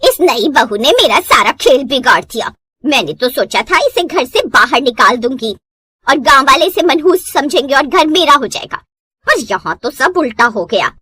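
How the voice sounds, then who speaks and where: A woman's voice: high-pitched, sing-song, normal speed, bright, forceful tone, no room sound, exaggerated voice. A woman voicing a scheming, spiteful older sister-in-law in a cartoon moral story, bitter and commanding. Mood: bitter, plotting.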